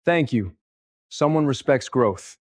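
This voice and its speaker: A man's voice: medium pitch, expressive, takes it slowly, dry recording, studio quality, voice pushed up. A man voicing a playful, wry young male coworker at a poolside snack bar. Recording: faint music behind.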